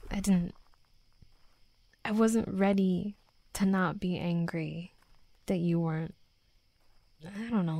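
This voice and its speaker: Woman voicing a young female tsundere werewolf for roleplay audio: slow delivery, close dry sound, defensive, teasing, hot-headed but flustered. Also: lowish voice, dry recording. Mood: apologetic, vulnerable. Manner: halting, defensive, teasing, often dropping to soft murmurs. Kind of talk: intimate roleplay audio drama.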